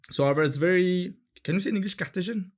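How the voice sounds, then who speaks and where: A man's voice: high voice, fast. French-accented man rambling thoughtfully. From France. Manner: rambling, searching for words, frequent fillers and restarts. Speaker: guest. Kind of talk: casual interview chat.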